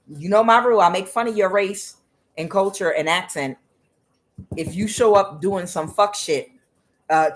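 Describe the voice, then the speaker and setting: A woman's voice: lowish voice, fairly bright tone. Blunt, profane woman reading tarot. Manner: blunt and profane, with frequent "uh" fillers; questions the cards aloud as she reads. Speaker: tarot reader. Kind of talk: solo tarot reading to camera.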